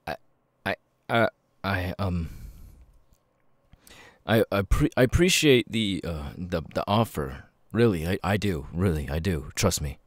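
Man playing a weary young ex-convict, very deep voice, slow halting stammer, vocal tics, ASMR roleplay, close dry mic. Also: lively intonation, no room sound. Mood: subdued, grateful. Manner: halting, stammering, with abrupt repeated-word tics. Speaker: voice actor. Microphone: close microphone, dry.

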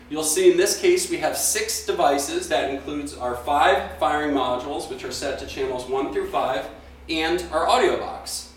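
A middle-aged man giving an instructional product demonstration in a deliberate, explanatory way. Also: fairly high pitch, rough recording. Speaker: product presenter.

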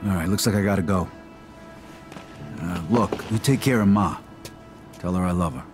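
An actor playing a streetwise, cocky young inmate, in a video game cutscene. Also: male voice, low voice, normal speed, lightly acted. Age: young adult. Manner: streetwise, tough, profane. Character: young male Italian-American inmate, tough and cocky. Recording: music under the voice.

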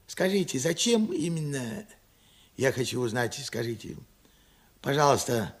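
Radio play: forgetful, wistful man in his late seventies, gravelly, slow, searching delivery. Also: medium pitch, full character voice. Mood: wondering, insistent. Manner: halting, musing, swinging from murmurs to outbursts. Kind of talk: Soviet radio play acting.

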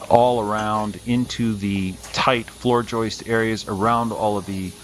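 A man with a fairly low voice talks through a basement insulation job as a contractor. He speaks in a plain, practical way, often pausing with "uh" as he explains. A faint hiss sits under the voice-over. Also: steady tone. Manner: plain-spoken, practical, frequent "uh" fillers. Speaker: spray foam contractor.

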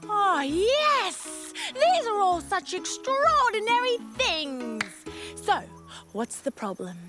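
A woman's voice: very high voice, big pitch swings, slow pace, over music, full character voice. A woman voicing a wise, kindly old elephant who speaks in a grand, encouraging way. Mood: welcoming. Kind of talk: children's storybook acting.

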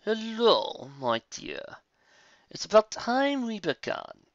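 A cruel pony captor, voiced by a man, drawls slow and silky, sliding up into high, eerie notes. The sound is thin and set back, on a dull, dry lo-fi recording. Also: very animated, no room sound, heavily acted. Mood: sinister.

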